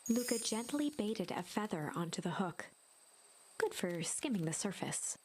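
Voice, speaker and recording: A woman narrating a gentle fairy tale in a video game, in a storybook style. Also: mid-range voice, very animated, unhurried, a little hiss or hum. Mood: gentle storytelling.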